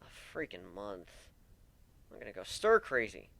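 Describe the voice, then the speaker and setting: A man's voice: lowish voice, very animated, measured pace, creaky, bright, forceful tone, a little hiss or hum, voice pushed down, exaggerated voice. A man voicing a wounded male griffin captain in a story, wry, plaintive and emphatic, blaming himself yet devoted. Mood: exasperated.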